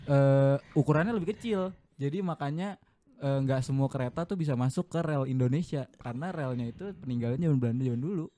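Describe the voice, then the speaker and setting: A man's voice: mid-range voice, dark tone. A man co-hosting a casual podcast chat who keeps chiming in with short agreements and background facts. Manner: chimes in with facts and quick agreement. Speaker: podcast co-host.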